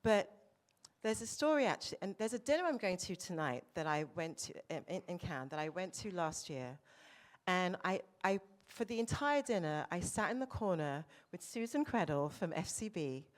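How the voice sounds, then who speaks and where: A woman's voice: lowish voice, very animated, normal speed. A woman panelist sharing anecdotes and advice in a conversational way at a festival panel discussion. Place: lounge at a festival panel event.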